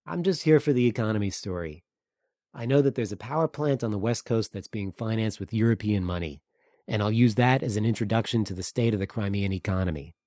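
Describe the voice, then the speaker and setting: A man's voice: lowish voice, dark tone, no echo, clean studio sound, natural voice. A man voicing an easygoing, evasive journalist in an audiobook, matter-of-fact and understated. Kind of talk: audiobook character dialogue.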